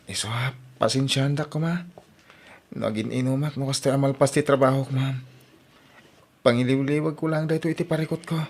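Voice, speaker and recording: A man's voice: mid-range voice, steady tone, a little fast, close to an everyday voice. A man acting in an Ilocano audio drama as a brooding, lovelorn, hot-tempered man, with an Ilocano accent. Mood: troubled.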